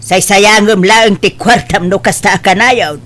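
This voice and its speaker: Slow, gentle Ilocano mother, played by a woman. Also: medium pitch, lightly acted. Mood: worried. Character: gentle, worried, forgiving mother of grown children. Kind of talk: Ilocano audio drama acting.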